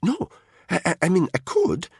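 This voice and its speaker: A man's voice: sits high, huge pitch swings, normal speed, vocal fry, breathy edge, dry recording, studio quality, voice pushed up, heavily acted. A man voicing a nervous, meek male driver who stammers timidly. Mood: flustered.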